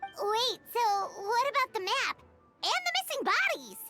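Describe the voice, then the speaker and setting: A woman voicing a small, childlike, excitable fairy companion in a video game, squeaky and full of questions. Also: unhurried, exaggerated voice. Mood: puzzled. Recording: loud music behind the voice. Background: game music.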